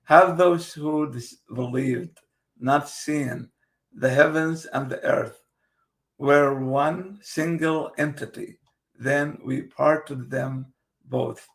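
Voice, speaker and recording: Male host, Kurdish native, gravelly, slow, hesitant. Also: on the high side, fairly even intonation. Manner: halting, many fillers and restarts, slow and careful. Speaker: host presenting his book. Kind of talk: casual video interview.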